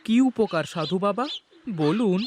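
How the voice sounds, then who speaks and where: A man's voice: high-pitched, measured pace, background noise. An actor voicing a poor, anxious and respectful village boatman in a fairy-tale cartoon, pleading and exclaiming. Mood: eager, amazed.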